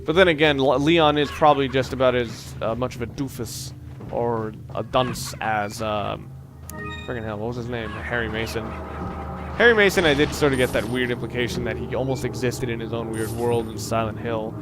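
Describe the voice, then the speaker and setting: A man giving casual, halting gameplay commentary as a let's play host, rambling and thinking aloud as he plays. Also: medium pitch, music in the background.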